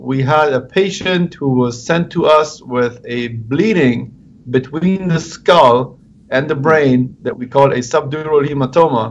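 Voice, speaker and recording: A man's voice: on the high side, normal speed, a little hiss or hum. Male neurosurgeon, 30s, hesitant explainer, muffled video-call audio. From Afghanistan, raised in Germany. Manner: hesitant with many fillers, explanatory. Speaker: neurosurgeon, interview guest. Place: home office. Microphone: video call webcam.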